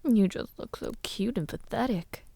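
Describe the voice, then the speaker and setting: A woman playing a haughty, mocking young villainess princess in an audio roleplay, condescending and teasing, often dropping to a breathy murmur. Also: medium pitch, expressive, moderate pace, warm tone, dry recording. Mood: mocking, amused. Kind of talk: audio roleplay acting, addressing the listener.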